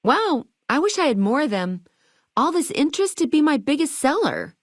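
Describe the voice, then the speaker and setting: A perky, quirky female innkeeper from a video game. Her voice sits on the high side and swings widely in pitch as she chats like an eager saleswoman with playful retro slang. The recording is very dry, with no room sound. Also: big pitch swings, normal speed, no echo. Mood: chatty. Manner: chirpy salesmanship, playful old-fashioned slang. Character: perky, eager vault hotel keeper woman, quirky and chatty. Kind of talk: video game dialogue lines.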